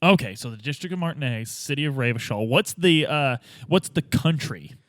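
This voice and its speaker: A man's voice: medium pitch, lively intonation, moderate pace, a bit bright, no room sound. A man chatting casually as a player in an improvised tabletop role-play, often exclamatory and incredulous.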